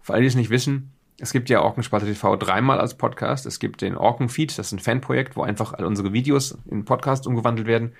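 A man's voice: medium pitch, quick pace, vocal fry. A man hosting a podcast, explaining things casually and off the cuff in a rambling, self-deprecating way. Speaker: podcast host. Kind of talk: casual unscripted podcast introduction.

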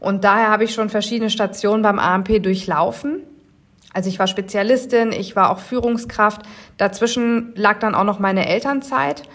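A woman in her forties talks through her career path as a podcast host. Her delivery is clear and measured, pitched at an ordinary middle height for a woman. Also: fairly bright tone. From Germany. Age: 40s. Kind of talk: scripted podcast narration.

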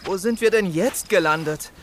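An actor playing an eager, wordy young toy adventurer in a children's audio drama. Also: male voice, high-pitched, normal speed, a little hiss or hum, lightly acted.

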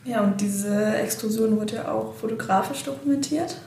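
Chatty young woman host, mellow voice. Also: on the high side, moderate pace, a little hiss or hum. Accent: German. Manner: chatty, laughs easily, asks friendly follow-up questions. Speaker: podcast host. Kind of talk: casual interview. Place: wooden hut at a seminar site. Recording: roomy echo.

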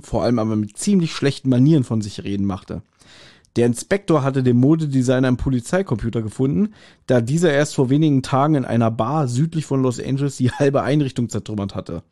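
Mellow man chatting casually. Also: medium pitch. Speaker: podcast host reviewing audio dramas. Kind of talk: casual chat.

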